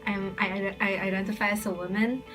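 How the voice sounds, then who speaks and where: A young woman speaks haltingly, stopping and restarting, set back from the mic. Music plays clearly under her. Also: mid-range voice, steady tone, distant mic. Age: young adult. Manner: halting, hesitant phrasing. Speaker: student interviewee. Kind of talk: documentary interview.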